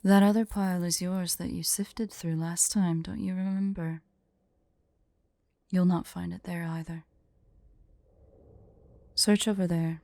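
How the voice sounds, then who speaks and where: A woman playing a haughty, prickly but secretly fond female dragon in an ASMR roleplay, imperious and deliberate. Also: lowish voice, fairly even intonation, creaky, mellow, no room sound. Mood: impatient. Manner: imperious, indignant, slow and deliberate.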